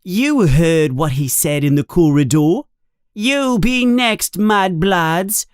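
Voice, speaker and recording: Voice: mid-range voice, expressive, slow, clear, resonant tone, dry recording, clean studio sound, pitched above the performer's own voice. A narrator voicing a jumpy, indignant schoolboy in a storybook, easily exasperated, with whiny, emphatic protests. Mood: frustrated. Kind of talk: storybook character voice.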